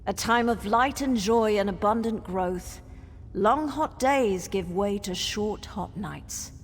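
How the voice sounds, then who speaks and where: A woman's voice: medium pitch, slow pace. An actress playing a solemn, ancient female spirit guardian who speaks cryptic riddles ceremonially in a fantasy game. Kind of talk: theatrical fantasy game dialogue. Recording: cavernous long reverb with background noise.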